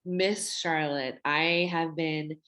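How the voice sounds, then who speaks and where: A woman hosting a podcast interview, asking her questions slowly and deliberately. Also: low-pitched, fairly even intonation, fairly bright tone. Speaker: podcast host.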